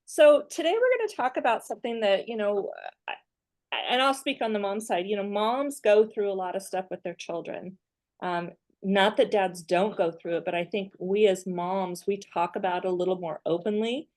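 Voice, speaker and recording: Woman, podcast host; mid-pitched, affirming, conversational interviewer. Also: moderate pace, a little mellow. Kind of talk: hosting a faith podcast interview.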